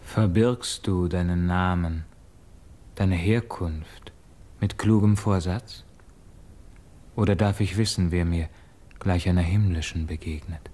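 Deep, slow, dark-voiced Greek stranger. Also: male voice. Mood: reverent, searching. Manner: slow, grave, formal. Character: reverent Greek stranger questioning a priestess. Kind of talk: classical verse radio drama acting.

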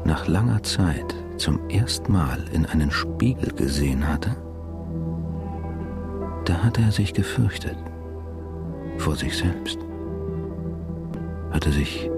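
An actor playing a brooding narrator of a changed man's thoughts, deliberate and pausing heavily, in a radio drama. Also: low-pitched, vocal fry, full character voice. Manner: deliberate, heavy pauses. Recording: loud music behind the voice.

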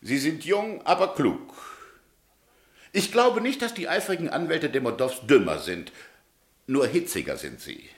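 An actor playing a proud, unbending middle-aged Tsarist governor in a German radio drama, speaking in a formal, emphatic way. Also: male voice, on the high side, big pitch swings, unhurried, reverberant. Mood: defensive, probing.

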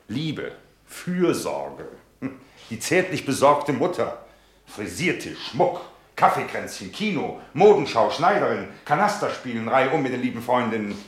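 A man's voice: mid-range voice, sing-song, moderate pace, echoey room, lightly acted. An actor playing a bitter, disillusioned middle-aged businessman husband in a German radio drama, with a sarcastic, theatrical way of talking. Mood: scornful, sarcastic. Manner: sarcastic, rhetorical, theatrical delivery.

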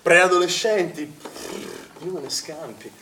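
Cocky young swim coach, distant and echoey. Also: male voice, a little high, measured pace, pushed and bright. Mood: dismissive. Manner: teasing, goading, vulgar banter. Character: cocky, crude, provocative young male swimming instructor. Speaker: stage actor. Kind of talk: naturalistic Italian stage drama. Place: theatre stage, picked up from afar. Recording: roomy, distant, noisy theatre recording.

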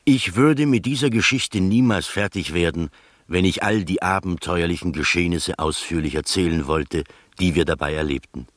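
Male actor as gentleman narrator, low voice, measured, literary, bemused, radio drama, close dry studio. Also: no echo, lightly acted. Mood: bemused, recounting. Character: gentleman narrator recounting his strange adventures, bemused, literary.

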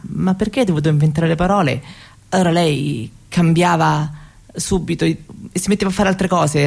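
A woman's voice: low-pitched, creaky, a little mellow. An actress playing a young Roma woman who shyly tells her grandmother's story in a hesitant, conversational theatre monologue. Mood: shy, friendly. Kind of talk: theatrical monologue told as spoken testimony.

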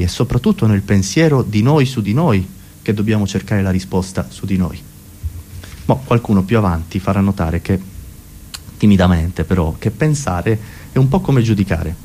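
A man's voice: on the low side, dark tone, slightly rough. A man delivering a comic philosophical monologue in a rambling, self-correcting, mock-academic manner. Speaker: comedian and monologuist.

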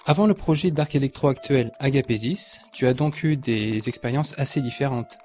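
A man's voice: medium pitch, moderate pace, a little mellow. A man interviewing a band, asking curious follow-up questions.

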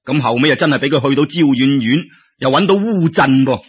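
A man's voice: mid-range voice, lively intonation, fairly quick, husky. A man with a Cantonese accent narrating a folk tale in colloquial oral storytelling style. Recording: dull lo-fi radio-style recording.

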